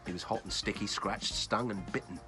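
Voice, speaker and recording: A man hosting and reading a children's bedtime story in a playful, expressive, child-directed way. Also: on the low side, brisk, faint music behind. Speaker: children's story reader and host.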